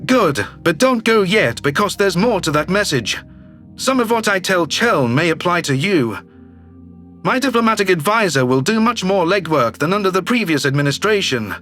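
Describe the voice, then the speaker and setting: Man playing a high-voiced, commanding alien governor. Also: lively intonation, a bit bright, faint music behind. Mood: brisk, commanding. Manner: brisk, authoritative. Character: shrewd, businesslike male alien governor, curt and commanding.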